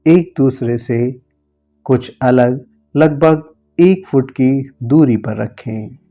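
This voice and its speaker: A man leading a guided relaxation as a yoga nidra guide, giving gentle instructions in short, spaced phrases. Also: mid-range voice, lo-fi sound.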